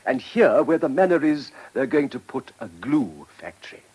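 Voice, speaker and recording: An actor playing a polite, hesitant, anxious village vicar in a period radio drama. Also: male voice, mid-range voice, big pitch swings, moderate pace, a little mellow, slightly rough. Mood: apologetic warning.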